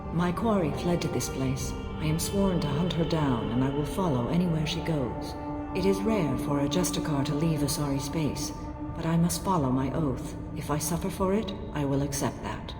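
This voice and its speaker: A woman acting as a stern, serene alien warrior in a video game, speaking formally and with measured solemnity. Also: sits low, close to an everyday voice. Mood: solemn, resolute. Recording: echoey hall, music underneath.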